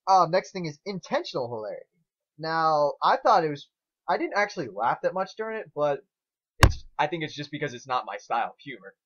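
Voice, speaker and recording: A man's voice: a little high, sing-song, fairly quick, a bit bright, lo-fi sound. A man co-hosting a casual review, rambling through his opinions with plenty of crude swearing. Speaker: co-host reviewing fan fiction.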